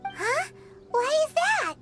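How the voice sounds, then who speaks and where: A woman voicing a tiny, excitable, childlike fairy companion girl in a video game, with a squeaky cartoonish voice. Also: takes it slowly, loud music behind the voice, full character voice. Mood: excited, curious.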